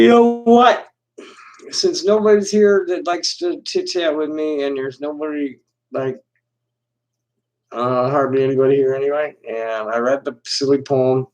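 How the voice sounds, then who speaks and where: A man hosting a solo livestream, reading aloud slowly and deliberately, then chatting in a halting, rambling way with many restarts. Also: medium pitch, low-quality recording. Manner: reads aloud with deliberate slow pauses. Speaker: livestream host. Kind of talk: casual solo livestream chat.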